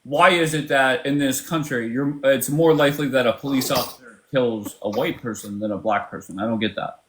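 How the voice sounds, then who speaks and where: A man runs a live call-in debate stream. He sounds brisk and dry, with an ordinary mid-range voice. He moves callers along and cuts them off when he is done. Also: medium pitch, a bit bright, slight background noise. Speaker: livestream host moderating callers.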